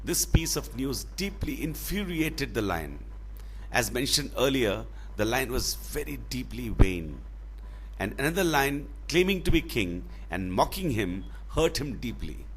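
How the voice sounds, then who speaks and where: A man telling a children's fable in a dramatic, expressive way, with deliberate phrasing. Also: medium pitch, slight background noise. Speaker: storyteller.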